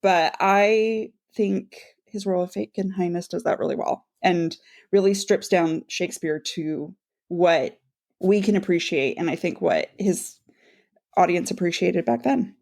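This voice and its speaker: A woman's voice: fairly low pitch, measured pace, creaky, darkish tone. A woman co-hosting a podcast interview, talking conversationally as she comments and leads into questions. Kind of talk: conversational book-talk interviewing.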